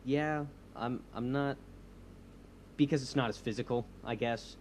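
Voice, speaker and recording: A man's voice: medium pitch, measured pace, a little hiss or hum, close to an everyday voice. A man playing an insecure young superhero leader, hesitant and earnest, in naturalistic audio drama dialogue. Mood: nervous, insecure. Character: insecure young male superhero leading a movement.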